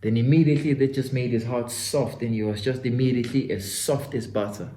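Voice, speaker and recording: A young South African man in his twenties, a native Zulu speaker, with a soft, mellow tone. He talks earnestly to the listener like a friend. His voice carries a roomy echo from a home studio. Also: medium pitch, steady tone, fairly quick. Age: 20s. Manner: earnest, talks straight to the listener, calls him "my guy". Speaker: self-development vlogger. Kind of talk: motivational storytelling vlog.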